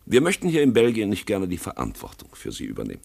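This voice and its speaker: A bureaucratic police commissioner in a radio drama. The voice is low and gravelly, rough with husk. He is curt, officious and condescending. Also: huge pitch swings, fast talker, darkish tone, full character voice. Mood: impatient. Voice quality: gravelly, husky. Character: brusque, dismissive, bureaucratic male Belgian police commissioner. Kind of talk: German radio play acting.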